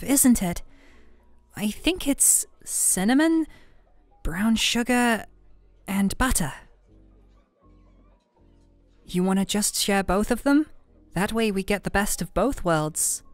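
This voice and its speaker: A woman's voice: mid-range voice, no room sound. A woman playing a playful, affectionate young best friend in an ASMR roleplay, chatting casually and teasing her listener. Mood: warm, enthusiastic. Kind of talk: ASMR roleplay audio, conversational acting. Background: soft background music.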